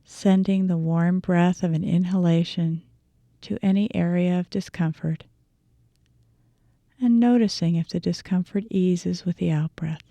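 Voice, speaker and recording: A woman leads a guided meditation in a soft-toned, mellow voice that sits slightly low. She phrases her instructions gently, leaving deliberate pauses, and the sound is close and dry. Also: steady tone, dry recording. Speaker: meditation teacher.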